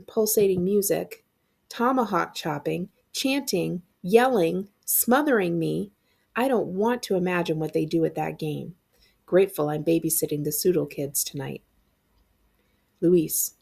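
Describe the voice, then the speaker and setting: A woman librarian reading a middle-grade novel aloud in an expressive, acted-out storytelling style. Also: lowish voice, vocal fry, husky.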